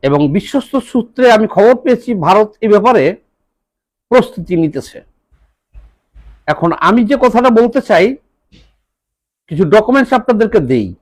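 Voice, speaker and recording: Bangladeshi guy doing political talk, high pitched, very up and down, chops sentences into short bits. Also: animated. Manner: deliberate, halting phrases with rhetorical questions. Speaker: political commentator. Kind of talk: political monologue to viewers.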